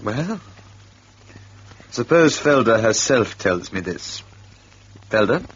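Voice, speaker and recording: An actor playing a self-possessed, sardonic ex-convict husband with a cool, insinuating manner in old radio drama style. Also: male voice, lowish voice, very animated, slow pace, slightly rough, full character voice. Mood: defiant. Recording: muffled old radio recording.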